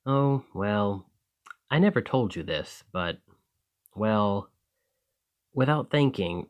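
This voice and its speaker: A man playing a friendly, earnest, easily flustered alchemist in an ASMR roleplay, talking conversationally to the listener. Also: fairly low pitch. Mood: sheepish. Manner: conversational, enthusiastic, hesitant when embarrassed.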